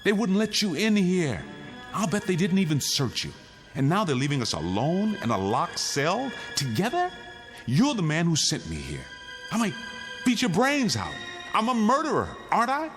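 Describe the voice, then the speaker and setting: A man's voice: high voice, huge pitch swings, normal speed, music in the background. An actor playing a weary, insistent condemned man pleading his case in a radio drama. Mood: urgent, insistent. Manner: insistent, pleading, arguing in rhetorical questions. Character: condemned male prisoner insisting the world is his nightmare, weary, urgent.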